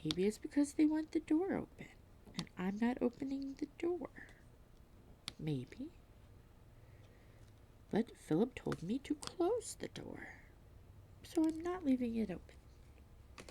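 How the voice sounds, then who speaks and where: A woman vlogging at home while diamond painting, reading aloud and chatting in a self-deprecating way, with a slightly stuffy nose and frequent soft whispers. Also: high voice, sing-song, slow, pauses often, slight background noise. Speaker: crafting vlogger.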